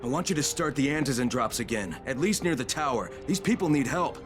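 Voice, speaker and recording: An actor playing a weary, blunt but caring undercover agent in a video game, speaking naturalistically. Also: male voice, mid-range voice, lively intonation, fairly quick, over music, close to an everyday voice. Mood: insistent.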